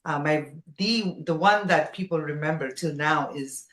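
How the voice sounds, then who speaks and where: A woman's voice: sits low, measured pace. A woman from Nepal speaking effusively as a guest in a casual conversational interview. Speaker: podcast guest, former radio jockey. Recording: roomy, echoey sound.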